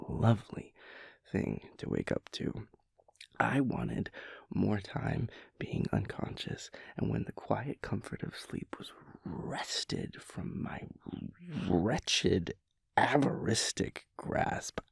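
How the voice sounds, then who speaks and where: A man playing a sleepy, playful boyfriend in an intimate audio roleplay, theatrical and mock-dramatic, with breathy, hushed asides. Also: lowish voice, dry recording, clean studio sound, exaggerated voice.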